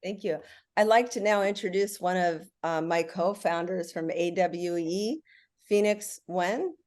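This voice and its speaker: A woman's voice: on the low side, measured pace, darkish tone. A middle-aged woman hosting and moderating a panel with a formal, welcoming script.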